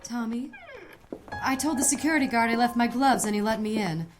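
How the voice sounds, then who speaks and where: A woman's voice: fairly high pitch, brisk, music in the background, natural voice. A woman acting an emotional detective's friend in an audio drama, urgent and breathless. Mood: searching.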